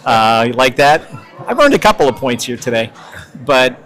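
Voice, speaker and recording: A man's voice: medium pitch, big pitch swings, normal speed, fairly bright tone. A man hosting a podcast, interviewing a guest in a conversational style. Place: podcast booth at a conference.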